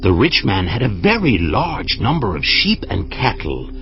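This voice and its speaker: An actor playing a solemn prophet telling a parable in measured storytelling style in dramatized scripture. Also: male voice, fairly low pitch, wildly swooping pitch, soft background music. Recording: slightly dull audio.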